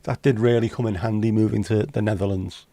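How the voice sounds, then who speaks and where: Man, livestream host, casual teasing banter, warm mellow tone, dry room. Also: fairly low pitch, steady tone, fairly quick, dry recording. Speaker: livestream host reading chat. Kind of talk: casual chat. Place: home livestream setup.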